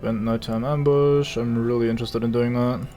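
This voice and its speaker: A man's voice: mid-range voice, steady tone, normal speed, warm tone, soft background music. A man from Germany with a German accent, giving casual, sarcastic commentary as he streams a game and swears at it.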